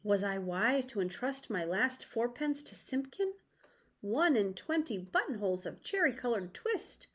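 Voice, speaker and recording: Woman putting on a fretful old tailor, animated and high. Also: a little slow. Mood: worried muttering. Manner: lamenting, dramatic, old-fashioned wording. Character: fretful, poor old male tailor, muttering to himself.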